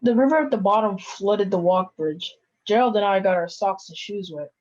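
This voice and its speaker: Woman doing a nervous stubborn teen boy at a table read, medium pitch, muffly audio. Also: normal speed, a little mellow, lo-fi sound, close to an everyday voice. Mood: uneasy. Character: timid, stubborn teenage boy, Ron.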